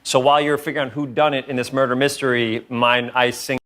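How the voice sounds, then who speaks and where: A man speaks conversationally in a middle-pitched voice. He comes across as an actor explaining his project in an interview. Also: flat delivery, fast talker, fairly bright tone. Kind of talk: casual interview talk.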